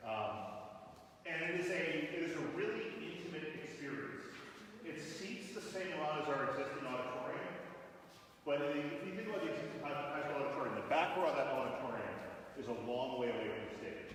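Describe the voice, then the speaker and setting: A middle-aged man, a school superintendent, giving a casual spoken tour of a new building, loud and full of fillers and restarts. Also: a little high, lively intonation, brisk, a little hiss or hum. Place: large echoey auditorium under construction. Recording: echoey hall from far off.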